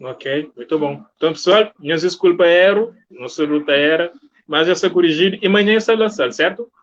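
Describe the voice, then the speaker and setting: A man with a fairly high voice hosts a relaxed online interview. He speaks conversationally, as to guests and viewers on a live stream, and the sound is a little dull and lo-fi. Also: brisk. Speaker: interview host. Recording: muffled, lo-fi online stream audio.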